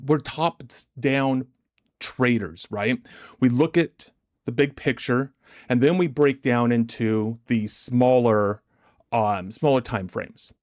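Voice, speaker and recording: A man hosting a livestream as a motivational trading coach, deliberate and emphatic, his chest a little congested from a recent illness. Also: medium pitch, a little mellow, no room sound, clean studio sound. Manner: deliberate, emphatic, repetitive rhetorical questions. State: chesty, getting over bronchitis, clearing throat.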